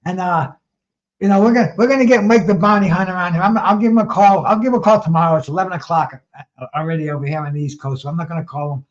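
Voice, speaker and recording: A man hosting a casual boxing chat with a notably high voice. He stumbles and repeats words as he starts sentences, and the sound is dull and lo-fi. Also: fast. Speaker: show host.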